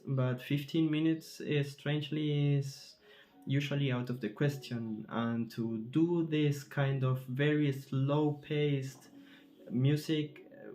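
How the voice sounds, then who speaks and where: A man from Chile, a composer answering interview questions thoughtfully, often pausing and searching for words. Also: medium pitch, darkish tone. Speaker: composer in residence.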